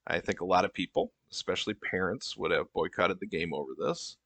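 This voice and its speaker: Comedy sketch: exasperated male group counselor, played by a man, low register, halting deadpan delivery, patronizing. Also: vocal fry, no echo, full character voice. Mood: awkward, deadpan. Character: exasperated, deadpan male therapy group leader for game champions.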